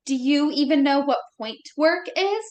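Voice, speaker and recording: A woman plays a brash young woman with a high voice. She is foul-mouthed, sarcastic and exaggerated, in a horror film script read aloud. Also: expressive, unhurried, a little mellow, highs cut off. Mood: irritated, combative.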